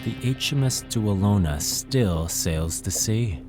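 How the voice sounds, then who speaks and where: A young man narrates a spooky tale. His deep voice moves slowly and deliberately, hushed and full of suspense. Clear music runs underneath. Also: lively intonation. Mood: eerie. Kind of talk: dramatic scary-story narration.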